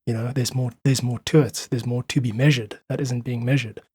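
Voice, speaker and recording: A man speaking as a podcast guest, reflective and earnest, slightly husky, making his points with emphatic repetition. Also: mid-range voice, steady tone, fairly quick, a little mellow, dry recording. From South Africa. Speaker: podcast guest, independent musician. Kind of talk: reflective casual conversation.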